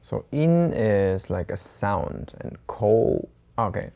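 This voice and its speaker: Woman w slight german accent, warm mellow voice, laid-back, translating examples w lots of um and like. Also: extremely low pitch, slow, vocal fry. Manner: relaxed, translates examples into English with hedging fillers. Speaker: co-host learner. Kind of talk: language lesson chat.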